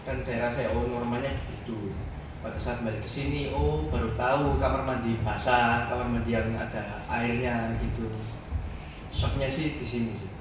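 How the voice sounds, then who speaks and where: A teenage boy speaking as a podcast guest, searching for words and restarting his sentences. He sits back from the mic in a very echoey room. A steady noise hum runs underneath. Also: mid-range voice, moderate pace, far from the mic. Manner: hesitant, many restarts and short laughs. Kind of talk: casual interview answers. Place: school room.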